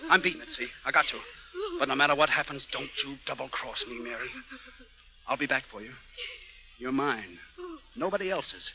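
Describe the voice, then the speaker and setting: A man's voice: medium pitch, expressive, moderate pace, vocal fry, pauses often, highs cut off. A man acting a ruthless American gangster on the run in a 1930s radio drama, clipped, bossy and urgent. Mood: cold, menacing. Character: ruthless, fast-talking male gangster on the run. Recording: old 1930s radio broadcast recording.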